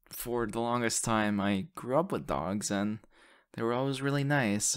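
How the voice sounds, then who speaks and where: A man playing an excitable, sappy young boyfriend in an audio roleplay, talking in a gushing, hesitant, stammering way into a close mic. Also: mid-range voice, clean tone, lightly acted. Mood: earnest, nervous. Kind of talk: ASMR audio roleplay, conversational acting. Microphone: close condenser microphone.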